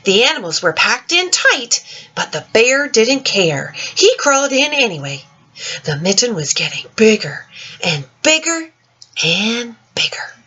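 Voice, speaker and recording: Husky, animated woman reading a storybook. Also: fairly high pitch, unhurried, muffled recording. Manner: animated, dramatic, addressing young listeners directly. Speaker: storyteller reading a picture book to children. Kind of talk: expressive children's storybook read-aloud.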